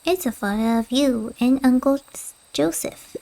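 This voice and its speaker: A woman's voice: sits high, unhurried, gravelly, dark tone, poor audio. A woman voicing a curious, earnest young boy in a video game, with a wistful manner.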